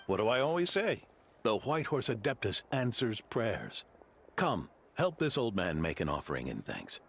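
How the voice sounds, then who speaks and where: A man's voice: fairly low pitch, huge pitch swings, moderate pace, gravelly, a bit bright, a little hiss or hum, full character voice. An actor playing a genial, devout old grandfather who runs a guest house, speaking in a hearty, courteous way in a video game. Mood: proud, devout. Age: older. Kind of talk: video game character dialogue.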